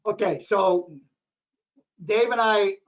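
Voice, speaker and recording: A hoarse man with a high-pitched voice talks at a town planning board meeting, rambling and repeating himself. The sound is dull and lo-fi, like local cable TV audio. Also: slow pace. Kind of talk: meeting discussion.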